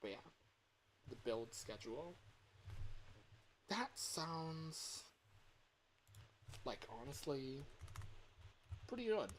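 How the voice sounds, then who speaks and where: A man streamer chatting casually, thinking aloud in a halting, rambling way. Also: on the high side, sing-song, a little hiss or hum. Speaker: livestreamer and fangame developer. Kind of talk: casual livestream chat. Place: livestream at a computer.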